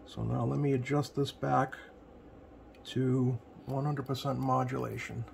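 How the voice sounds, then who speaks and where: A man giving a casual hands-on tutorial as a repair technician, plain-spoken and often pausing mid-sentence. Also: mid-range voice, level tone, a little mellow. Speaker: CB radio repair technician presenting. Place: indoor electronics workbench. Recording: clear background noise, home workbench room.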